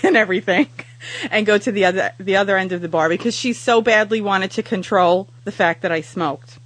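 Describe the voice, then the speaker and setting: A woman's voice: mid-range voice, fairly bright tone, no echo. A woman in her thirties hosting a casual talk show, sounding nasal after just waking up, rambling and crude with lots of fillers. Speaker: podcast host.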